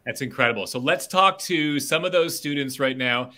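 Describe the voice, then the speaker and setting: A man's voice: medium pitch, moderate pace, sharp tone. A middle-aged man interviewing students over a video call in an upbeat, encouraging way. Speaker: interviewer host. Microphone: webcam on a video call.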